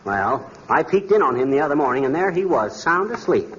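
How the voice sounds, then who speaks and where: An actor playing a cheerful, bumbling, wisecracking American husband with a breezy folksy manner in a 1940s radio comedy. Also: male voice, on the high side, sing-song, fairly quick, a bit bright. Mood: sardonic. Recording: noisy, muffled 1940s radio recording.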